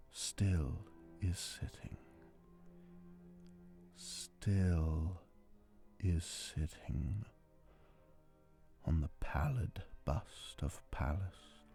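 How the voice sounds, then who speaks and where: A man giving a dramatic poetry reading in a deliberate, theatrical manner. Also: low-pitched, creaky, mellow. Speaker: amateur reader. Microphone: handheld digital recorder, close and dry. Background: string quartet music.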